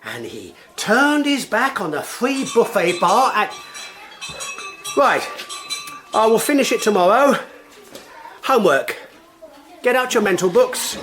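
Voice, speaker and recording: A woman playing a flustered, plodding schoolteacher in a radio drama, with halting, childlike phrasing. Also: mid-range voice. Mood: brisk, bossy.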